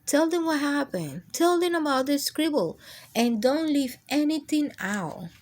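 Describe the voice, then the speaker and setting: A woman voicing an excitable, worried crayon character from a picture book, urgent and dramatic. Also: sits high, a little slow, pitched above the performer's own voice.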